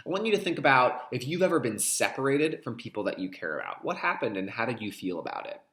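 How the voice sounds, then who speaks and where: A man with a lively, widely moving pitch speaks as a kindergarten teacher doing a read-aloud. He addresses young listeners directly and explains things in clear teaching language. The recording is roomy, with noticeable echo around the voice. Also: fast. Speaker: kindergarten teacher reading a picture book aloud.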